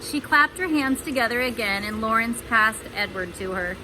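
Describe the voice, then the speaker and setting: A woman's voice: on the high side, animated, normal speed, noisy background. A woman reading a storybook aloud for children, with chatty asides to the listeners. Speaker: storybook reader.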